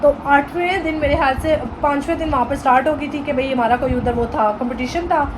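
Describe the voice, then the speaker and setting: A woman's voice: high voice, fairly even intonation, a little fast, background noise. A woman in her twenties with a Pakistani accent, chatting casually in a vlog and mixing in English phrases and playful Punjabi. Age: 20s. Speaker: vlogger.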